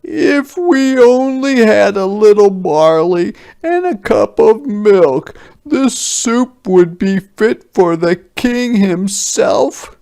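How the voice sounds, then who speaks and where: A man voicing crafty, cajoling soldiers from a children's storybook, in a coaxing, emphatic, drawn-out way. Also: high-pitched, lively intonation, gravelly, a little mellow, clear, resonant tone, pitched above the performer's own voice, heavily acted. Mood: wistful coaxing. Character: crafty, cajoling soldiers angling for soup ingredients.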